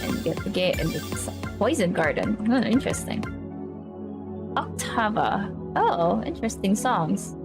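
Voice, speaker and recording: A woman from the Philippines, slightly raspy, chatty and giggly as she reads a visual novel aloud on stream. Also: medium pitch, big pitch swings, unhurried. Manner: chatty, giggly, reacting to the game. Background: game music.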